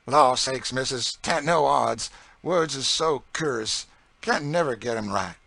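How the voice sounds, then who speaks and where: A male narrator plays an enslaved woman who cooks, motherly and eager. The voice is lifted high and pushed out loud and bright, in a folksy dialect full of emphatic exclamations. It belongs to an audiobook story. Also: a little slow, vocal fry, no echo, exaggerated voice. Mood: confident. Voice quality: folksy dialect speech with dropped sounds. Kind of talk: audiobook character dialogue.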